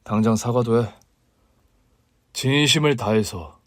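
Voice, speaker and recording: A man playing a protective younger brother-in-law in a spoken story, forceful and commanding, shouting when angered. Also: mid-range voice, normal speed, no echo, studio quality, close to an everyday voice.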